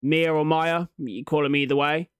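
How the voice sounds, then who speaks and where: Young guy reacting casually, swears a bit, smooth clear voice, close dry mic. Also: a little high, fairly even intonation, moderate pace, no echo. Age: young adult. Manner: slangy, swears freely. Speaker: reaction video host. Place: small room at home, indoors. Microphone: large desk microphone, close up.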